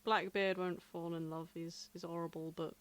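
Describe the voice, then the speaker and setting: Woman in relaxed podcast chat. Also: fairly low pitch, moderate pace. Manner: informal, amused, loose phrasing. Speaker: podcast co-host. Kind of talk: casual chat.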